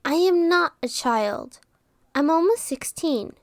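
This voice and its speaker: A woman's voice: sits high, animated, slow, dry recording, studio quality, heavily acted. A woman playing a wary, defiant teenage village girl in a fantasy audio roleplay, with a guarded, hesitant manner. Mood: defiant, suspicious. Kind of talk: scripted audio roleplay acting.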